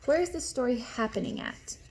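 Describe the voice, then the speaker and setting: A woman teacher reading a storybook aloud to young children, expressively, pausing to explain and ask questions. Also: high voice, animated, a little mellow, a little hiss or hum, rough recording.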